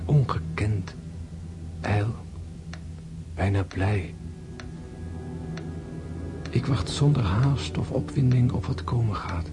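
Dying wounded man for radio drama: low, very slow, hushed, pensive, detached from the world. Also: noisy background. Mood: serene, musing.

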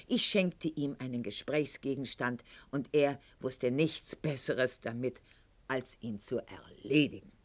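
An actress playing a proud, sharp-witted aristocratic lady in a radio play monologue, speaking with haughty irony and elaborate eloquence. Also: extremely low pitch, heavily acted. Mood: mocking.

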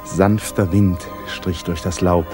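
An actor narrating a 1950s literary radio drama as a grave, intimate storyteller with measured, dramatic delivery. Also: male voice, sits low, fairly even intonation. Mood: measured, suspenseful. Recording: 1950s radio recording, music behind.

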